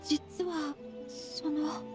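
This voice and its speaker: A woman playing a gentle young noblewoman in an anime fantasy game, speaking formally and humbly. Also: high voice, little pitch movement, extremely slow, over music. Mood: apologetic, explaining. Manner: formal, humble, soft-spoken politeness. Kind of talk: Japanese anime game voice acting.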